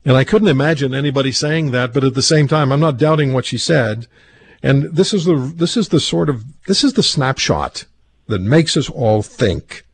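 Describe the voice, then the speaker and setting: A man's voice: mid-range voice, lively intonation, moderate pace, slightly rough. A man hosting a radio talk show, interviewing a guest conversationally with short affirming responses. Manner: conversational, affirming with brief acknowledgments.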